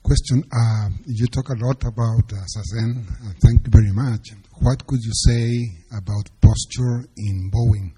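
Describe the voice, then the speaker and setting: Slow, husky man with Chilean accent. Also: medium pitch, steady tone, mellow. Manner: hesitant, halting phrasing. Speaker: audience member asking a question. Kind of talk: question from the floor.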